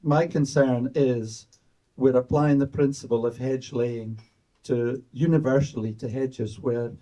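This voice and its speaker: Older man, audience question, very dark tone, measured. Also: mid-range voice, fairly even intonation, poor audio. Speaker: audience member. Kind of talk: asking a question.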